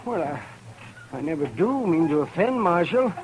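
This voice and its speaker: An actor playing a fussy, flustered, morbidly joking town doctor in a 1950s radio western, with a reedy voice. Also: male voice, on the high side, sing-song, unhurried. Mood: defensive. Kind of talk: 1950s radio drama acting. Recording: noisy old 1950s radio recording.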